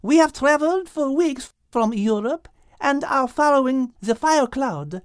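Woman playing a high-voiced, polite animal. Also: animated, measured pace, dry recording, clean studio sound. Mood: politely curious. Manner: polite, hesitant. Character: polite, curious little French female animal traveller.

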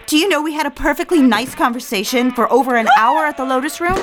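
A woman's voice: high voice, fast talker, music in the background, lightly acted. An actress as an emotional, defiant independent woman. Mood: indignant, pleading. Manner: emotional, emphatic, theatrical. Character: defiant, indignant young independent woman, confronting and confiding. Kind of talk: dramatic audio-drama acting and diary-like narration.

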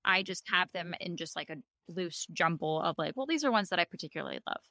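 Bright-voiced woman podcast co-host. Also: lowish voice, expressive, no echo, studio quality. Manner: thoughtful, measured, with many fillers. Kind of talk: casual chat about a TV episode.

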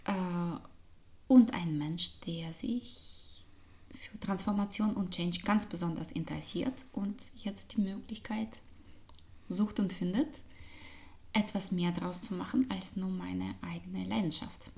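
A woman from Russia with a Russian accent, a business coach talking as a podcast guest, thoughtful and soft-spoken, sometimes dropping to near-whispers. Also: lowish voice, steady tone, takes it slowly, vocal fry. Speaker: business trainer, change consultant and coach.

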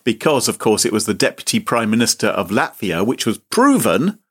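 A man's voice: sing-song, fairly quick, fairly bright tone, slightly rough. A man hosting a chat show, telling a comic story with theatrical mock outrage. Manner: mock-indignant, theatrical, sweeping pitch. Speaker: podcast host.

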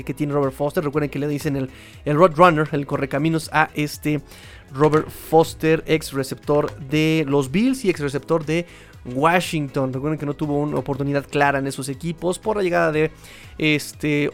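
Casting: Mexican male sports podcaster, fairly high voice, informal solo commentary with colloquial, chatty delivery.

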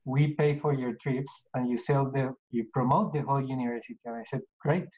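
Colombian man talking in halting restarts. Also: medium pitch, fairly even intonation, dull audio. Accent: Colombian Spanish. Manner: halting, many restarts and fillers. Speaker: podcast guest, business owner. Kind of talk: casual interview answers.

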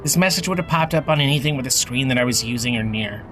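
A man's voice: medium pitch, animated, quick pace, a bit bright, slight background noise, lightly acted. A man playing a grumpy, evasive character in a naturalistic audio drama, speaking in terse grumbles. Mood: evasive, irritated.

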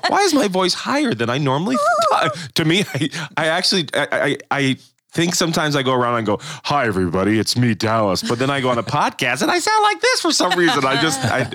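A man's voice: fairly high pitch, fast talker, dry recording. A man co-hosting a church podcast in casual chat, a kids pastor who laughs often and restarts his sentences. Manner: animated, laughing, many restarts.

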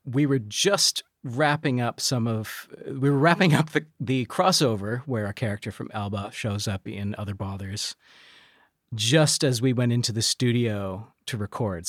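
A man's voice: mid-range voice, dry recording, studio quality. A man talking casually as a guest producer in an interview, hesitating as he picks his words.

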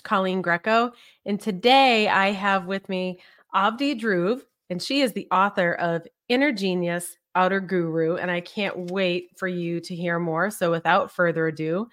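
A woman hosting an interview podcast, talking upbeat and effusive in a casual way. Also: medium pitch. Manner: upbeat, effusive, warm thanks. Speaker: podcast host.

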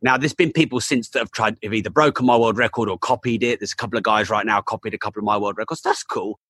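A man being interviewed as an entrepreneur guest, talking in an energetic, casual way with quick restarts. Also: fairly low pitch, dry recording, studio quality. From England. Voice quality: voice still not fully recovered.

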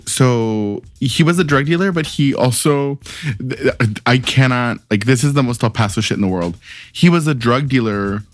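A man's voice: mid-range voice, normal speed. A man co-hosting a casual podcast, telling stories in a campy, profane way and calling people girl. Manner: animated, campy, swears, calls people girl. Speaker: podcast co-host. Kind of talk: casual storytelling chat.

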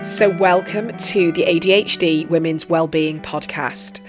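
A hoarse woman, earnestly introducing her podcast as host and coach. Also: lowish voice, steady tone, measured pace, music in the background. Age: 40s. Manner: earnest, reflective, personal. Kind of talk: solo podcast trailer monologue.

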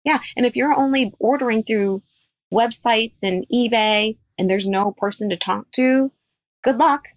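A woman's voice: on the high side, a little slow, no room sound, studio quality. A woman dentist, a guest on a podcast interview, giving firm and emphatic advice.

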